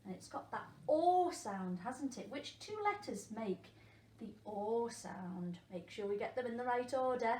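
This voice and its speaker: A woman, a primary school teacher, leading an interactive phonics lesson for young children, encouraging them and asking questions with pauses for answers. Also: medium pitch. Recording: echoey room with faint background noise.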